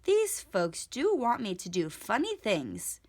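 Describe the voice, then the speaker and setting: A woman's voice: medium pitch, wildly swooping pitch, slow pace, darkish tone, full character voice. A woman voicing a cheerful, naive, literal-minded housemaid in a children's storybook, with an earnest, folksy, exclamatory way of talking. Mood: amused.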